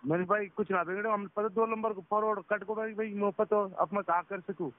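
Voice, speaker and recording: A man's voice: high-pitched, fairly even intonation, brisk. A man on a phone call, recounting an incident in a repetitive, agitated, casual way. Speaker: phone caller, a driver recounting being scammed. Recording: tinny phone call recording.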